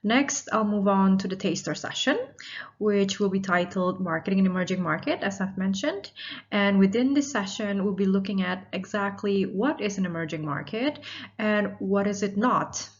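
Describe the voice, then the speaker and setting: A woman in her thirties, originally from Indonesia, giving a recorded university lecture in a measured, explanatory way. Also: medium pitch. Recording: slightly dull lo-fi audio.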